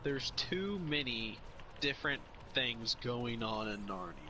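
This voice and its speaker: A young man chats casually as a co-host, rambling through opinions and restarting his sentences as he goes. His voice sits in an ordinary middle pitch. Faint street and traffic noise runs behind him. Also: lively intonation, measured pace. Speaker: podcast co-host. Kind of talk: casual chat.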